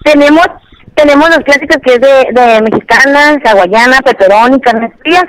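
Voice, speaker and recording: A woman's voice: sits high, normal speed, fairly bright tone. A woman working at a pizzeria, taking a customer's order over the phone. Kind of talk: customer service phone conversation. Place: on a phone call. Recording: phone line through a show's speakers.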